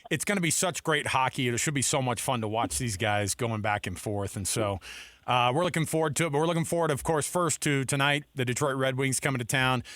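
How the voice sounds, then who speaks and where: Bright, dry-sounding man. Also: medium pitch, a little fast, no echo. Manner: enthusiastic. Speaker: sports radio host. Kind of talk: casual sports talk.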